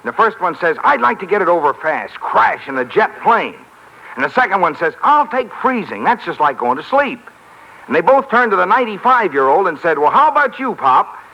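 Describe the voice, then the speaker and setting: A man's voice: high-pitched, big pitch swings, a little fast, full character voice. A man playing a pushy, harried car salesman in a 1950s radio comedy, eager, pleading and talkative. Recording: dull, heavily noisy 1950s radio recording.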